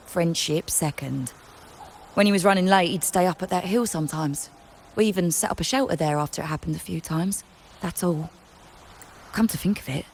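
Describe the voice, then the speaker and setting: A blunt, wary trader. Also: female voice, fairly low pitch, a little mellow, slight background noise. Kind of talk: video game voice acting.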